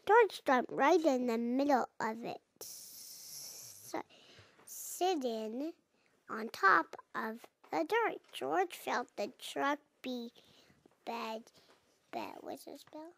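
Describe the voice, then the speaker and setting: A four-year-old girl reading a picture book aloud, haltingly, sounding out and repeating words. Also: high-pitched, lively intonation, vocal fry, a bit bright. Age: young child, four and a half. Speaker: child reader.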